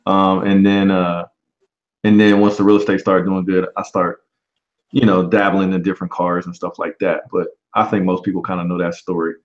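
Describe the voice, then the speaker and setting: A man in his forties hosting a casual livestream chat, relaxed and often pausing on um. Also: low-pitched, highs cut off. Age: 40s. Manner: relaxed, frequent um fillers. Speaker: livestream host. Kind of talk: casual live-stream chat.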